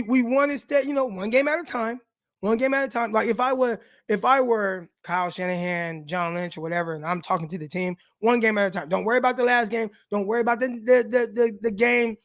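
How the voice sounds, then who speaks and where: A man with a raspy voice hosting a casual live sports show, talking loosely and with slang straight to his viewers. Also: extremely high pitch, a bit bright, no echo, clean studio sound. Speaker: sports podcast host.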